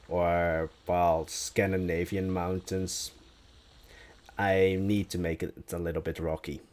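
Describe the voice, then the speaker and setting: A man's voice: sits low, a little mellow. A young man whose first language is Dutch, chatting casually on a live stream as he builds, musing aloud and answering viewers' questions.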